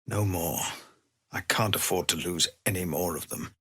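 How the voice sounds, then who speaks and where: A male actor playing a weary, honourable leader of embattled refugees in a video game, grave, measured and formal, with a husky voice that turns gravelly under strain. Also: deep voice, a bit bright, dry recording, studio quality. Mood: weary, sorrowful. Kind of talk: dramatic game dialogue.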